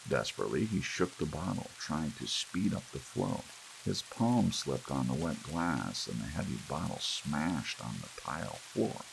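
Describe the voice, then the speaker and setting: A man reading a story aloud as an audiobook narrator, in a relaxed bedtime style. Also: rumbling low, measured pace, vocal fry. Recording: faint hiss and rain sound. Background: soft rain.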